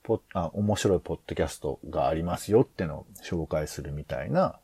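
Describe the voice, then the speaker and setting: Very gravelly, hesitant man. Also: deep voice, a little fast, dark tone, dry recording. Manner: hesitant, tentative, circling around points. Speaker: podcast co-host. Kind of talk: casual discussion.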